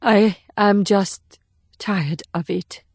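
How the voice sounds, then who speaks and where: Audiobook role: foreign woman assassin, resolute and sorrowful, formal clipped wording, middle pitch, dry sound. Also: slow, darkish tone, no room sound. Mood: weary. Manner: formal, clipped phrasing without contractions. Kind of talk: audiobook character acting.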